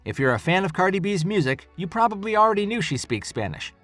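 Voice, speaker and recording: A man's voice: high voice, sing-song, fast talker, fairly bright tone, soft background music. A man narrating a compilation video in a scripted, upbeat way.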